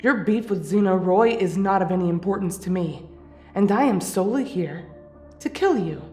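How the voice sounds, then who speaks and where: A woman voices a cold young female warrior bent on revenge in an anime fan drama. Her delivery is deliberate and accusing at a middle pitch. The sound is echoey, with light music behind. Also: animated, a little mellow, lightly acted. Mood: accusing, vengeful.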